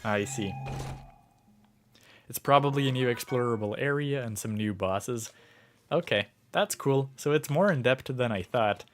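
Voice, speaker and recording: A man's voice: medium pitch, measured pace, a little hiss or hum. A man streaming a video game, musing aloud with lots of fillers while sick and losing his voice. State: sick, starting to lose his voice. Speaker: gaming livestreamer. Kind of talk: casual gameplay commentary.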